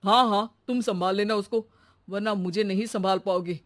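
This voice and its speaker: A woman voicing a fed-up, dramatic householder who complains in exasperation, in a comic animated story. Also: mid-range voice, moderate pace, lightly acted.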